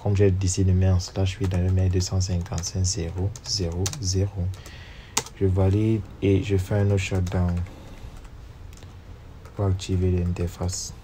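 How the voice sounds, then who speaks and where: A man's voice: low voice, flat delivery, measured pace, background noise. A man with a cold narrating a step-by-step screen tutorial, reading each command and number aloud as he types. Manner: steps through commands aloud, spelling out numbers. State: has a cold. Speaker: networking instructor. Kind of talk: screen-recorded tutorial walkthrough.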